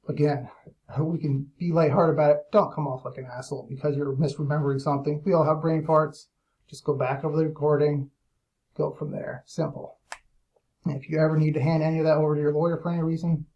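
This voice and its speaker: Male, somewhat higher-pitched voice; blunt, emphatic business owner talking informally to clients. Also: flat delivery, brisk, dark tone, highs cut off. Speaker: lead generation business owner. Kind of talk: informal monologue explainer to clients.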